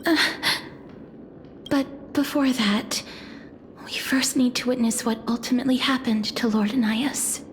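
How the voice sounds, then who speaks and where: A woman's voice: a little high, fairly even intonation, unhurried, slight background noise, natural voice. An actress playing an earnest young woman who explains and wonders aloud. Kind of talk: game voice acting. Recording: game music behind.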